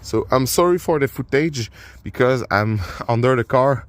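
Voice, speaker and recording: A man with a French accent talks through a car repair while he works. His voice sits at an ordinary middle pitch, and he stops often on uh and repeated words. It sounds like a phone recording made in a garage and under the car. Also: expressive, a little hiss or hum. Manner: halting explanations with frequent uh and repeated words. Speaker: DIY car repair vlogger. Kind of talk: casual hands-on repair walkthrough. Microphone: phone camera while working.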